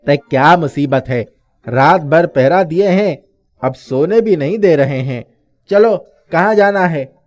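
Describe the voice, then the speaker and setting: A man acts out an agitated villager from a folk tale. His voice sits high for a man, and he exclaims his lines in storybook cartoon fashion. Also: expressive, unhurried, a little mellow. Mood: indignant. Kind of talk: animated moral story dubbing.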